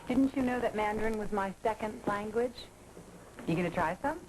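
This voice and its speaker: An actress voicing a self-assured, flirtatious woman with a wry, teasing way of talking. Her voice sits in a middle pitch, and the scene plays like 1980s daytime television. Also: moderate pace, noisy background, natural voice. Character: poised, flirtatious professional woman. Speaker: soap opera character. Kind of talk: 1980s daytime soap acting.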